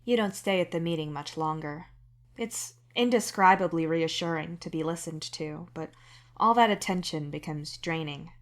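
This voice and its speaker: Woman narrator, fairly low pitch, scripted fiction reading. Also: normal speed.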